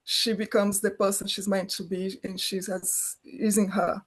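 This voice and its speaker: A woman's voice: mid-range voice, flat delivery, a little slow, slightly rough. A middle-aged woman from Brazil, a native Portuguese speaker, talking as a filmmaker guest in an interview, often restarting her phrases as she searches for words. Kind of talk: conversational interview.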